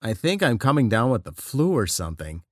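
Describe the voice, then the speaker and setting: A man performs scripted everyday conversations for a listening exercise. His mid-pitched voice moves around a lot in melody, and his delivery is plain and conversational. The recording is close and dry. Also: very animated, a little fast, dry recording. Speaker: listening test voice actor. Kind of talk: scripted dialogue reading.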